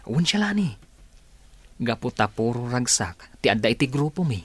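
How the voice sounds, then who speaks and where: A man's voice: mid-range voice, big pitch swings, moderate pace, a bit bright, slightly rough, no echo. A man playing an aggrieved, vengeful young recruiter in a radio drama, with an Ilocano accent. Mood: persuasive.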